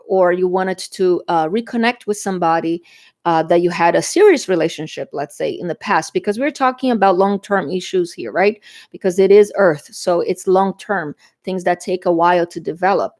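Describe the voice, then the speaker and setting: A woman's voice: lowish voice, normal speed, a little mellow. A woman from Brazil with a Brazilian Portuguese accent, Portuguese her first language, chatting her way through a tarot reading and often tagging "okay?". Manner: chatty, frequent "okay?" tags and fillers. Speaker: tarot reader.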